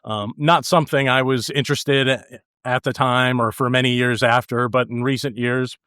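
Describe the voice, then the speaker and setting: A man's voice: medium pitch, steady tone, normal speed, a bit bright, smooth, dry recording. Hesitant man on a podcast, dry close sound. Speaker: podcast panelist. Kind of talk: casual chat about pop music.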